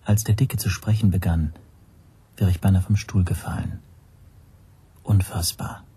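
An actor playing a watchful first-person narrator in a radio drama, with a breathy, husky voice. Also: male voice, sits low, steady tone, unhurried, warm tone, full character voice. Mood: observant, tense.

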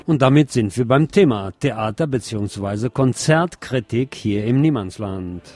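A male radio presenter speaks at a middling pitch in a formal, wordy monologue of cultural commentary, dropping ironic asides. Some music sits faintly behind his voice. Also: lively intonation, moderate pace, dark tone. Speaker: radio show host.

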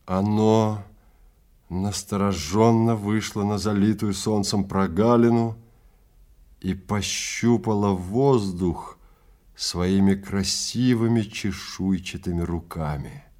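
A man narrates a dramatic story in a fairly low voice. His delivery is very slow and measured, with a theatrical storyteller's manner. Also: steady tone, a little mellow.

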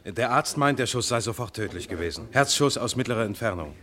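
A self-assured male detective in a fifties radio crime drama. His voice sits low, and he lays out facts in a composed, matter-of-fact way. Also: lively intonation, brisk, pushed and bright. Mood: businesslike. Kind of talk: 1950s German radio play acting.

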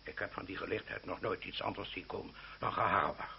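A man's voice: low voice, fairly even intonation, brisk, creaky. A man acting in an old radio play as a blustering older uncle, easily indignant, stammering when flustered. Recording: muffled, slightly noisy old radio recording.

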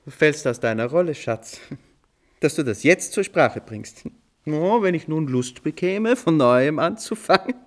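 An actor playing a playful, impulsive composer who tells stories in an animated, ornate, old-fashioned way for a German radio play. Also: male voice, on the high side, measured pace. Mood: teasing, playful. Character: playful, impulsive male composer recounting his nighttime inspiration.

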